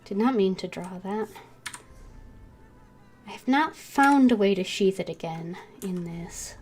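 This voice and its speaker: A woman's voice: mid-range voice, expressive, unhurried, a little mellow. A woman streaming a game, giving casual commentary in short remarks muttered to herself. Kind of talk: casual live gameplay commentary. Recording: faint keyboard noise behind.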